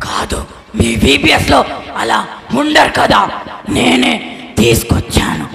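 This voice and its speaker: A man voicing a loud, theatrical Satan puppet in a children's church show, taunting and repeating himself. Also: extremely high pitch, wildly swooping pitch, a little slow, gravelly, soft background music, heavily acted. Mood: taunting, boastful. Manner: theatrical, exclamatory, repetitive. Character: Satan puppet, loud taunting devil, easily frightened of Jesus. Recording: echoey hall with long reverb.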